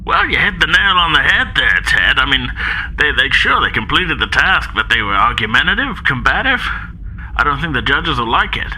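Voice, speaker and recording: A man's voice: medium pitch, very animated, moderate pace, bright, forceful tone, slight background noise, voice pushed up, low-quality recording. A man voicing an opinionated, judgmental sports co-commentator with emphatic, clipped broadcast phrasing. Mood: disapproving.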